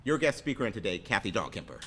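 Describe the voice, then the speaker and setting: Man with a bright, forceful speaking voice. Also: lowish voice, very animated, a little hiss or hum. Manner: earnest, halting, with repeated restarts. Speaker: introducer, county staff member. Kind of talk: speech introducing a guest. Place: hotel banquet room.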